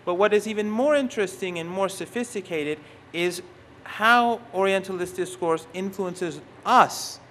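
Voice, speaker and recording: A middle-aged man whose first language is Persian, giving an academic conference talk, halting often and restarting his phrases. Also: high voice, fairly bright tone, slight background noise. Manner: halting, restarts phrases, stresses key words. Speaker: conference speaker. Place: university conference hall.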